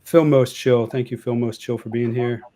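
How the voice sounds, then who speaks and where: A man from Ohio hosts a podcast and asks his questions in a halting way, searching for his words. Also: mid-range voice, fairly even intonation, a crowd in the background. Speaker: podcast host. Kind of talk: interview questions.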